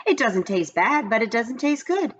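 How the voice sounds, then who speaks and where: A woman's voice: on the high side, sing-song, normal speed, a bit bright, dull audio, pitched above the performer's own voice. A woman voicing a sweet, plaintive young schoolgirl in a children's storybook read-aloud. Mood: disappointed.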